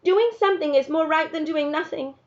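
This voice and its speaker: A woman's voice: high voice, fairly quick, lo-fi sound, pitched above the performer's own voice, close to an everyday voice. A woman voicing an earnest, stubborn but tender country girl in a storybook read-aloud. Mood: insistent. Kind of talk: storybook character dialogue.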